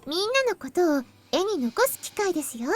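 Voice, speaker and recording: A high, girlish voice for a visual novel. She is polite and composed, with a formal, earnest delivery. Light music sits behind her. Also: very animated, rapid-fire. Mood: earnest, assured. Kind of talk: anime visual novel acting.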